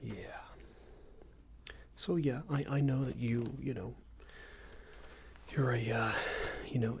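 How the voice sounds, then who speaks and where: Guy doing a passive aggressive boss asmr roleplay, soft breathy creaky voice, hesitant corporate rambling, bit of background noise. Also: on the low side. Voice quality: breathy, half-whispered, creaky. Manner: hesitant, hedging, condescending, rambling corporate small talk. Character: smarmy, passive-aggressive male company boss, entitled founder's son.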